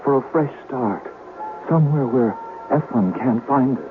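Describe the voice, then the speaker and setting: A man's voice: medium pitch, animated, unhurried, phone-quality sound, natural voice. Man acting an earnest young lover, old radio sound. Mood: urgent, devoted. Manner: melodramatic, earnest. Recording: band-limited old radio recording, music behind.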